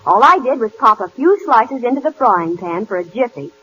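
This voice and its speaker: An actress playing a gushing, grateful housewife in a 1940s radio commercial, on a muffled broadcast recording. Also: female voice, fairly high pitch, expressive, normal speed, sharp tone, a little hiss or hum. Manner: gushing, enthusiastic.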